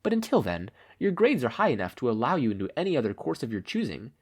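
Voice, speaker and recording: Man doing kindly school principal, formal, polite, gentle concern, animated intonation, storybook style. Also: medium pitch, fast talker, slightly rough.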